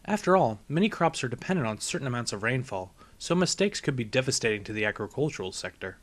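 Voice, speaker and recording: A man's voice: mid-range voice, big pitch swings, quick pace. A man voicing a bookish, polite young female pony scholar with precise, wordy speech in a storybook reading. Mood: earnest.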